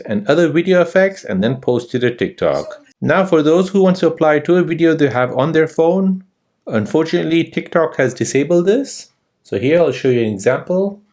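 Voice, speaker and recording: A man's voice: a little high, darkish tone. A man hosting a step-by-step tutorial, narrating in a scripted instructional manner with sing-song emphasis. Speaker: tutorial host.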